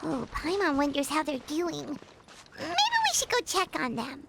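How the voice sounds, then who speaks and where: A woman voicing a tiny, excitable female sprite companion in a video game, squeaky and bouncy. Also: measured pace, creaky, full character voice. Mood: curious. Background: game music.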